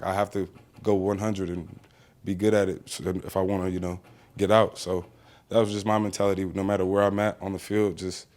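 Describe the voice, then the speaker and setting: A man's voice: low-pitched, a little mellow. A young American man and college football player, answering press questions in a casual, plainspoken way with many fillers. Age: young adult.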